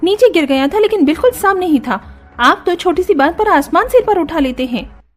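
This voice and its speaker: A woman voicing an overworked young daughter-in-law who complains indignantly in an animated story. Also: extremely high pitch, normal speed, faint music behind, voice pushed up. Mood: exasperated.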